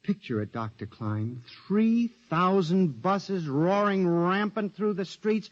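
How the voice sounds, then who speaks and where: High-voiced, agitated paranoid mental patient. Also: male voice, expressive, takes it slowly. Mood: feverish dread. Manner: earnest, feverishly insistent. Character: agitated male psychiatric patient, a paranoid clerk pleading. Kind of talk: 1950s radio drama acting. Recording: muffled vintage 1950s radio recording.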